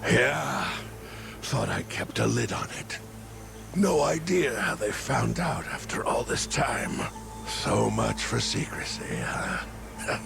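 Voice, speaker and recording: An actor playing a dying treasure hunter father, wistful and tender, with a breathy, halting delivery in a dramatic game cutscene. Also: medium pitch, expressive, exaggerated voice. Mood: rueful. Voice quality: breathy, gravelly. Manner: halting, labored speech. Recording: music under the voice.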